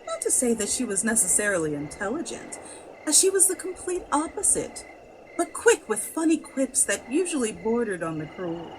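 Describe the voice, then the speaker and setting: Female narrator for scripted horror drama, highly expressive, higher register, over noisy background. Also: normal speed. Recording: clear background noise.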